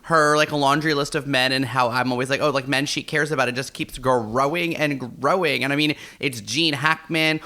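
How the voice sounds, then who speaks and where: An American man co-hosting a podcast in casual banter, chatty and halting, often repeating words as he searches for the next one. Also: mid-range voice, fairly even intonation, sharp tone. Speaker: podcast co-host.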